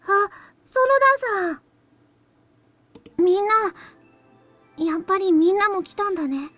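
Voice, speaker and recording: Anxious, very high-voiced schoolgirl. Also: animated, moderate pace, a bit bright, no echo, faint music behind. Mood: hesitant, uneasy. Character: anxious, guilt-ridden teenage schoolgirl. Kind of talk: Japanese visual novel voice acting.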